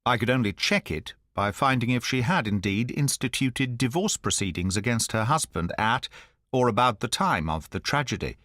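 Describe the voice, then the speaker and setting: A man narrating an audiobook in the first person, at a measured, even storytelling pace. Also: medium pitch, dry recording, studio quality.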